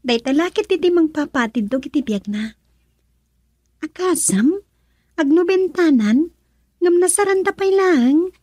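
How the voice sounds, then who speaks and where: Young sweetheart, high-pitched, soft dark tone, anxious, tender, radio drama. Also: female voice, lively intonation, a little slow, pauses often, dry recording. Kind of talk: Ilocano radio drama acting.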